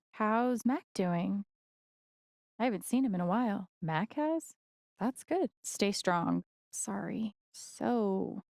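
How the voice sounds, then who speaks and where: Young video game heroine, casual n sincere, gentle, bit wry, mid pitch, super dry sound. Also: female voice, creaky, no echo. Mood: soft, concerned. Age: young adult. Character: young woman, game heroine, earnest, gentle, wryly playful. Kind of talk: naturalistic video game voice acting.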